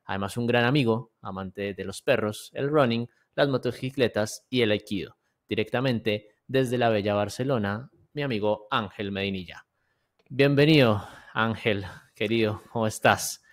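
A man's voice: lowish voice, moderate pace. A man in his thirties hosting a podcast, introducing his guest and putting questions to him. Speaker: podcast host. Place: indoor home studio, video call. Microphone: large microphone close to mouth, headphones.

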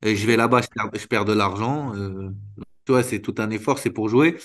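A man in his thirties, a former footballer, talking candidly in an interview over a video call, restarting sentences and filling pauses often. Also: lowish voice. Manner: spontaneous, halting, many restarts and fillers. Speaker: retired footballer guest. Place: small room indoors. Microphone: video call webcam.

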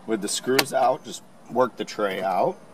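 A man calmly explaining, step by step, how to install a car part, recorded inside a car. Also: lowish voice, measured pace, background noise. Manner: calm, plain instructional. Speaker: installation instructor.

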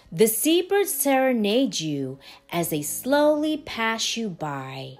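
A woman reads a children's picture book aloud in verse. Her pitch rises and falls expressively while the pace stays slow and deliberate, giving each phrase some drama. Music plays behind her voice. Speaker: storybook reader.

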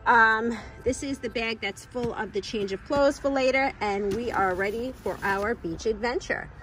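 A woman, chatting brightly to camera as a family vlogger, filmed on a handheld phone in her kitchen and car. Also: fairly high pitch, normal speed, faint music behind, poor audio. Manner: bubbly, chatty, addresses viewers directly. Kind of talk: casual vlog chat to camera.

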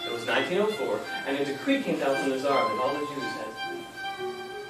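A man's voice: mid-range voice, lively intonation, normal speed, loud music behind the voice, lightly acted. A young man acting as a fond, patient grandson in a memory play, speaking in a wry, reflective way. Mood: reflective, wistful. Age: young adult. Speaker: stage actor and narrator. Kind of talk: memory play monologue and dialogue. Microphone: far audience camera. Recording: very echoey theater, distant camera, faint noise.

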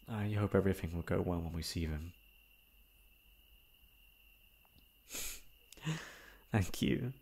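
A man playing a remorseful, self-loathing young prince in a formal, halting audio roleplay monologue. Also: fairly low pitch, vocal fry, darkish tone. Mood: subdued, grateful. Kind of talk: dramatic ASMR roleplay monologue.

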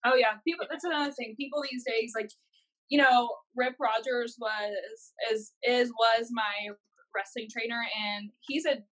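A woman's voice: a little high, fairly even intonation, measured pace, fairly bright tone, rough recording. A young American woman chatting casually and agreeably as a podcast co-host. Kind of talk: casual chat.

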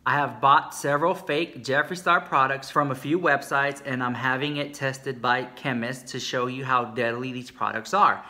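A man's voice: mid-range voice, steady tone, moderate pace, few pauses, pushed and bright. A man hosting a comedy vlog from a small room, sassy and dramatic, repeating "no, ma'am.". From Texas. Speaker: comedy YouTube host. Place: small indoor room with shelves.

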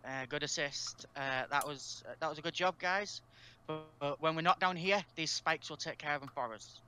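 A man playing a blunt, teasing survivor in an amateur game roleplay, with a dry, halting delivery. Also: fairly high pitch, sharp tone, faint music behind. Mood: businesslike. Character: blunt, teasing male survivor with a pipe wrench. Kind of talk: amateur Minecraft roleplay voice acting.

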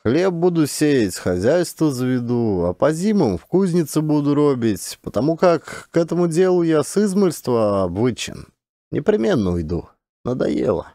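A man's voice: a little high, big pitch swings, moderate pace, mellow, no room sound, clean studio sound, pitched above the performer's own voice. A man voicing a small, meek, long-suffering peasant forester with mild, resigned, folksy rural speech in an audiobook. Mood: patient, wistful.

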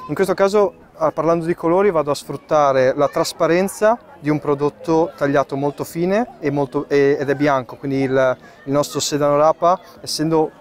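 A man in his thirties, a chef giving a live cooking demonstration under a tent in a park, explaining each step as he works, with fillers and pauses, recorded by a camera at a distance. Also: mid-range voice, darkish tone. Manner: explanatory, thinking aloud with fillers while working. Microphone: far camera.

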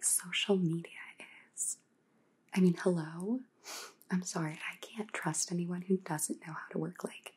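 A young woman playing a snide, modern vampire housemate in a comic ASMR roleplay, with a whispery, dismissive valley-girl drawl. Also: lowish voice. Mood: scornful. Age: young adult. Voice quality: very breathy, whispery.

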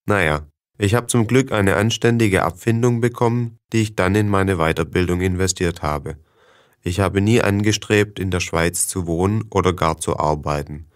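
A man reading scripted texts for language learners in an even, measured way. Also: low voice, clean tone. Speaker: reader of listening texts.

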